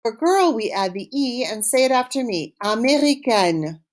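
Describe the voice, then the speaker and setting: A woman's voice: a little high, expressive, moderate pace. A woman teaching a language class, encouraging and instructive, carefully enunciating phrases for learners to repeat. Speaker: French teacher. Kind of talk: language lesson with call-and-repeat.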